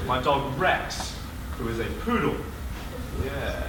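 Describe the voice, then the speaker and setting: A man's voice: mid-range voice, lively intonation, slow pace. A man acting a vain, bumbling superhero who poorly hides as a reporter, boastful and stammering in a broad comic stage style. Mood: boastful, flustered. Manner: boastful, stammering, blurting and backtracking. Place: live stage performance in a hall. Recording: echoey hall, distant camera mic, noisy.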